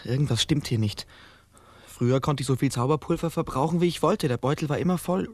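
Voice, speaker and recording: A man's voice: on the high side, fast, no echo, close to an everyday voice. A male actor playing a naive, indecisive young fairy-tale hero in a children's radio drama, plaintive and full of questions. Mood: worried, helpless.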